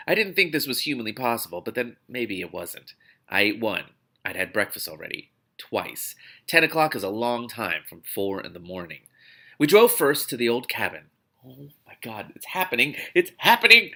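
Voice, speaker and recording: A man reading a novel aloud expressively as host, animated and given to breaking off with excited reactions. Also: on the low side. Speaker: host of a read-aloud book series.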